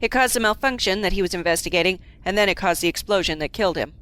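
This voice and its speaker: A woman's voice: medium pitch, fast talker, fairly bright tone, natural voice. An actress playing an efficient female first officer who reports in a formal way.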